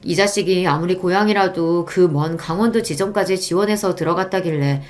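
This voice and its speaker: A woman's voice: lowish voice, brisk. A woman reading a scripted first-person story in a dramatic radio-story style. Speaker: story narrator.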